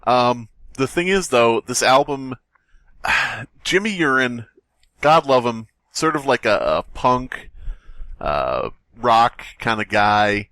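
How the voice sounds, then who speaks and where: A man's voice: mid-range voice, animated, takes it slowly, pushed and bright, dry recording. Rambling, crude podcast co-host man. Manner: rambling, crude, laughs often. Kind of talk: casual banter.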